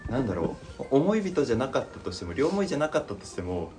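A man's voice: mid-range voice, sing-song, rapid-fire, music in the background. A man in a casual creative chat, thinking aloud and acting out imagined lines as he goes. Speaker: podcast member discussing a voice drama plan.

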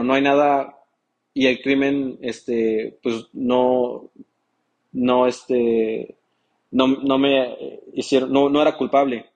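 A man's voice: mid-range voice, a little mellow, poor audio. A young man who grew up in the United States with English as his first language, speaking as an interview guest in a hesitant way, with many fillers and restarts. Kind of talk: casual interview talk.

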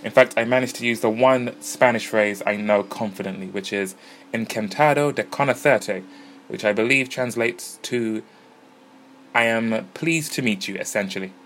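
A man's voice: on the low side, normal speed, a bit bright. A man hosting a music podcast, chatting casually and easily about bands and gigs. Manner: chatty, easygoing, self-deprecating. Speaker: podcast host. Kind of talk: casual podcast narration and interviews. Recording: noisy pub smoking area with crowd.

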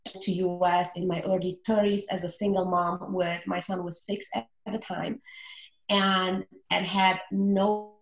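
A woman in her forties with a Romanian accent, a relationship coach speaking earnestly in a casual interview, often pausing on fillers. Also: on the low side, flat delivery, echoey room, poor audio.

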